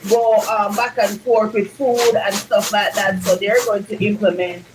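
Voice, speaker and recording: A woman on a live stream, explaining in a measured way. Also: mid-range voice, little pitch movement, noisy background. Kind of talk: casual live-stream chat.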